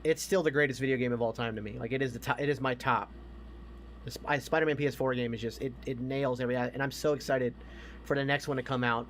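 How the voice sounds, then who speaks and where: A man's voice: medium pitch, steady tone, fast, fairly bright tone, slight background noise. A man co-hosting a casual podcast chat, giving emphatic opinions and swearing freely. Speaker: podcast co-host. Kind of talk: casual opinionated chat about games and movies.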